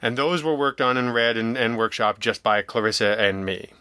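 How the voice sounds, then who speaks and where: A man talking casually as an interview guest, in a rambling, halting way, emphatic in his opinions. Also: mid-range voice, sharp tone, clean tone, no room sound, studio quality. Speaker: podcast guest, audio drama writer and producer.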